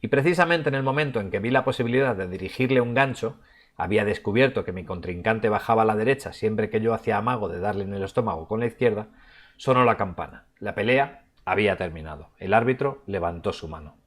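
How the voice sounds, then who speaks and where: A man reading a novel passage aloud in a measured way, going quieter on the quoted lines. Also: on the low side. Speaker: book reviewer.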